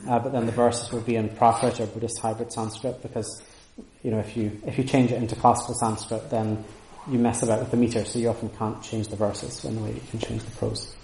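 A man's voice: on the low side, little pitch movement, fast talker, vocal fry, few pauses, warm tone, reverberant, slight background noise, poor audio. A man giving an informal lecture and answering audience questions, thoughtful and full of restarts. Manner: thoughtful, many restarts and hedging fillers. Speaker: lecturer on Sanskrit texts. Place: room with audience.

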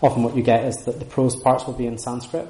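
A man's voice: mid-range voice, steady tone, quick pace, mellow. Male lecturer in an echoey room. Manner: thoughtful, many restarts and hedging fillers. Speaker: lecturer on Sanskrit texts. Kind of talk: informal lecture with audience questions. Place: room with audience.

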